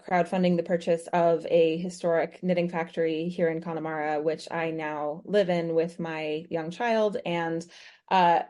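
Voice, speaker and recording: Soft-toned American woman, podcast guest. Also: on the low side. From United States. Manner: thoughtful, many ums and restarts. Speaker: guest author. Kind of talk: podcast interview, conversational.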